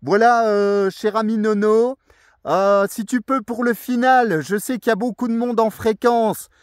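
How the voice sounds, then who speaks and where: A man's voice: sits high, steady tone, a little slow, no echo, clean studio sound. A man making a casual radio contact outdoors, speaking courteously as an amateur operator in radio jargon. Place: outdoors in a forest clearing.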